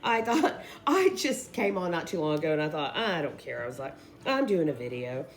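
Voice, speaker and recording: A woman who is losing her voice, hoarsely chatting her way through a casual craft tutorial in a rambling, informal way. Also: low voice, very animated, moderate pace. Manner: rambling, informal, addresses viewers as guys. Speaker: craft video host.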